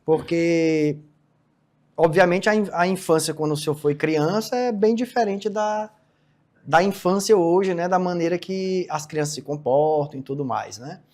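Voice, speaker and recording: A man's voice: on the high side, unhurried, a little mellow. A man in his forties hosting an interview in a deferential way, with frequent "né" tags and restarts. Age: 40s. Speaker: host. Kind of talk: casual interview.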